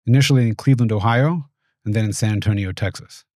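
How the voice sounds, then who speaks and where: A man in his forties, raised in the United States, giving thoughtful, measured interview answers in a small studio. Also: on the low side, a little mellow, no room sound. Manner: measured, thoughtful, with frequent restarts. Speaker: guest, venture capitalist. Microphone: studio mic on boom arm.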